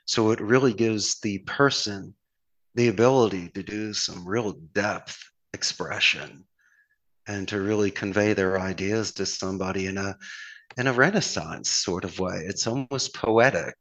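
A man's voice: on the low side, measured pace, poor audio. A man with a Philadelphia accent giving friendly, rambling advice in a casual chat. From Philadelphia area, United States. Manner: animated, folksy, encouraging, rambling with restarts.

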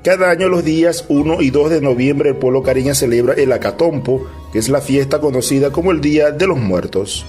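A man reading scripted news as anchor of a radio bulletin, in a steady formal delivery. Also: medium pitch, brisk, over music.

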